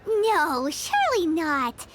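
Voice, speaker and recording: A woman's voice: very high-pitched, wildly swooping pitch, slow pace, very noisy, heavily acted. A woman voicing a tiny, bubbly fairy companion who refers to herself in the third person, in a video game. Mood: thoughtful. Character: tiny chirpy floating fairy companion girl.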